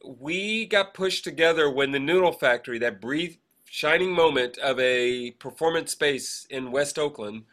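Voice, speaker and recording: A man with a slightly high, bright and forceful voice co-hosts a casual podcast. He talks in a digressive way, pausing and hesitating as he wanders from one aside to the next. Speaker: podcast co-host. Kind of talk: casual chat, rambling birthday shout-outs.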